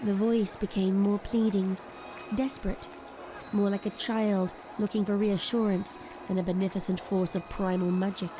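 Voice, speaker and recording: Even-toned woman narrator. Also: mid-range voice, normal speed. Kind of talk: scripted story narration. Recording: clear background noise and ambience.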